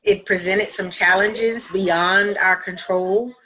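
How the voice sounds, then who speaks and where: A woman answering casually as an actor on a video-call panel, in a halting, reflective manner. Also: medium pitch, steady tone, bright, forceful tone, poor audio.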